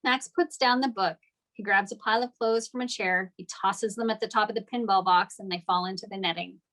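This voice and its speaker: Medium-pitched woman reading stage directions. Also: fairly quick, fairly bright tone. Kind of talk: scripted narration at a table read.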